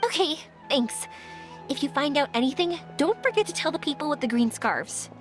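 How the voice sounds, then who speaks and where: High-pitched, earnest young heroine. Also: female voice, sing-song, normal speed. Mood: earnest, urgent. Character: energetic, earnest, helpful young woman leading a search. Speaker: video game character voice. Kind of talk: scripted game dialogue. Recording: music behind the voice.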